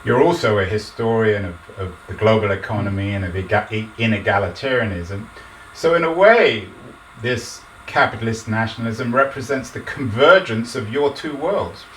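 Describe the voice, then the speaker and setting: A man's voice: on the low side, normal speed, fairly bright tone. A man hosting an interview podcast, asking probing, emphatic questions. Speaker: podcast host. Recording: echoey room, distant mic, faint noise.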